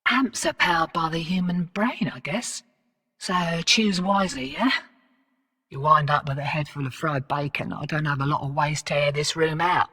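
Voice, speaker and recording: A woman's voice: low-pitched, moderate pace, sharp tone, long reverb tail. An actress voicing a cocky female rogue fortune hunter and arms dealer in a video game, cheeky, slangy and sardonic. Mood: chatty, unsure. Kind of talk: video game character voice lines.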